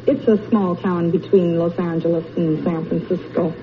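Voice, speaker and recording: Soft-spoken woman from California. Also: on the low side, fairly even intonation, moderate pace. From Monterey, California. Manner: soft-spoken, short polite answers. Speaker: quiz contestant. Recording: dull old radio broadcast with faint hiss.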